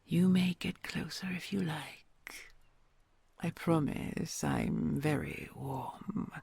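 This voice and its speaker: A woman voicing a kind, gentle female red dragon queen in an ASMR audio roleplay, talking in a soft, soothing, mostly whispered way. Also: low-pitched, slow, gravelly, husky, no echo, heavily acted. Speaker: voice actor.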